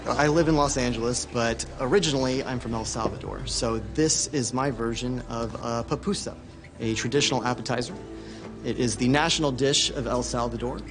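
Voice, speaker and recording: A man playing an earnest chef who explains his dish with pride, his voice at an ordinary mid pitch. Music plays behind him. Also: brisk, lightly acted. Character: proud male chef presenting his dish. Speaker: chef contestant. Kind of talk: film dialogue. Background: background music.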